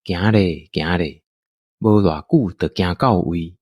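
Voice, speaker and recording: A man with a Taiwanese accent narrating a scripted audio drama in an unhurried, expressive storytelling manner. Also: low voice, breathy edge, dry recording, dull audio.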